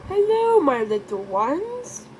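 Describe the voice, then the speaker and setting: A woman voicing an affectionate elderly grandmother pig in a storybook, doting and gently coaxing. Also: high-pitched, big pitch swings, slow, voice pushed up, full character voice. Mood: welcoming. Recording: clear background noise.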